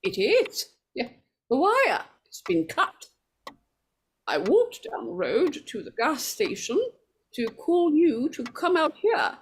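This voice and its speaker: An actress playing a nervous, evasive, scheming married woman in 1940s radio horror style, stammering and halting as she talks. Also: sits high, very animated, a little hiss or hum, exaggerated voice. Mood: evasive, uneasy.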